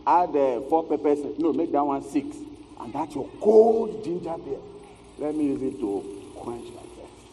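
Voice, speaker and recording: A man's voice: on the high side, animated, a little slow, mellow, slight background noise. An actor with a West African accent playing a blustering, sweet-talking married man and shady dealer in a theatrical stage comedy-drama. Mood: casual, pleased. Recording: echoey theatre hall, distant stage sound.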